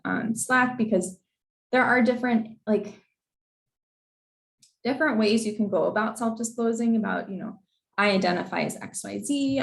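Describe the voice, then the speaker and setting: Hoarse young woman giving casual advice. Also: medium pitch, echoey room, low-quality recording. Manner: casual and chatty, many fillers and apologies. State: losing her voice, hoarse. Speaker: info session presenter. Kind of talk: informal advice talk with slides.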